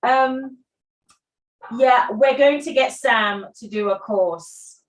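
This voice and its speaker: Woman chairing a meeting, chatty and unhurried, recorded from a distance in an echoey room. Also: on the high side. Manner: chatty, laughs easily, loses her thread. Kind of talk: casual meeting chat. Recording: roomy, distant from the mic.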